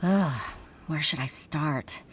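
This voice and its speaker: Slow, low-voiced weary young official. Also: female voice, a little hiss or hum. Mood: exasperated. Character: weary, troubled adult daughter, junior official. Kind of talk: video game voice acting. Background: background music.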